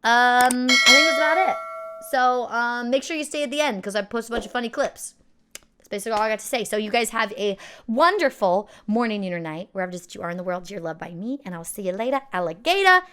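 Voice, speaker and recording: Bubbly, rambling woman with a smooth voice. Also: a little high, animated, fast, bright, forceful tone. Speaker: reaction video host. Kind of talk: casual chat.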